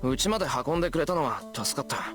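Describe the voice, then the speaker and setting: Casting: earnest teen anime-game hero, blunt, easily rattled, animated delivery, faint music behind. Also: male voice, fairly high pitch, very fast. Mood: earnest, casual. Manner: informal, blunt, easily flustered. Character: earnest, flustered teenage boy hero of fantasy visual novel. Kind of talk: Japanese anime-style game voice acting.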